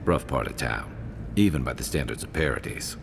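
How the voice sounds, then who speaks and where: An actor playing a worldly, dry companion in a video game, talking in an understated, conversational way. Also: male voice, rumbling low, fairly quick, gravelly, background noise. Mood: dry, advising. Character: dry, worldly male companion advising the envoy.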